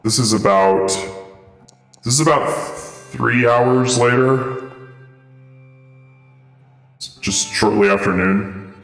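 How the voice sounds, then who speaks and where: Imagine a man guiding a tabletop game, his voice run through a voice changer. He talks loosely, pausing on ums and uhs, in an echoey space with a long reverb tail and faint music underneath. His pitch sits in the middle of the male range. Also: fairly bright tone. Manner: casual, hesitant, many fillers. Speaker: dungeon master.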